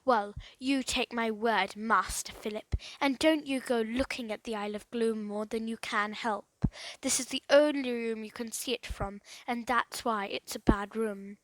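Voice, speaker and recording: A woman's voice: a little high, steady tone, unhurried, dry recording, natural voice, low-quality recording. A woman as a sullen, superstitious handyman. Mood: ominous warning. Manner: grim, ominous warning. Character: sullen, bad-tempered, superstitious male handyman. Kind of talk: children's storybook read aloud.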